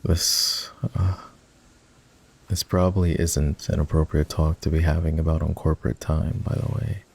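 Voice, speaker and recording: Low, creaky-voiced boyfriend character acted by a man. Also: level tone, heavily acted. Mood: vulnerable, regretful. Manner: intimate, halting, conversational. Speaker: voice actor. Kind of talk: boyfriend roleplay ASMR acting.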